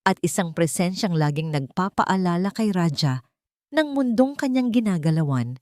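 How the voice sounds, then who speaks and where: A woman's voice: lowish voice, expressive, normal speed, a little mellow, no room sound, clean studio sound. A woman with a Filipino accent narrating a scripted dramatic story.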